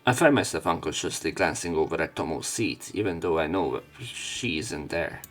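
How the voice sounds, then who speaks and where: A man's voice: a bass voice, animated, normal speed, fairly bright tone, soft background music. A man doing let's play commentary, reading visual novel narration aloud with opinionated asides. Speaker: let's player.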